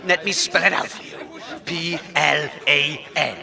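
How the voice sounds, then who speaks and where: A man's voice: on the high side, steady tone, unhurried, creaky, a crowd in the background. A man playing a pompous, ranting wasteland cult leader in a comic audio drama, speaking with theatrical, grandiose contempt. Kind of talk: comedic audio drama acting.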